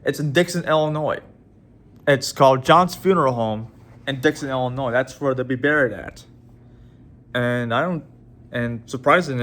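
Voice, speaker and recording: A man in his twenties talking casually to his viewers in a halting way, with many restarts and repeated words. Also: medium pitch. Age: 20s. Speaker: vlogger. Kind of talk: casual vlog talk to viewers.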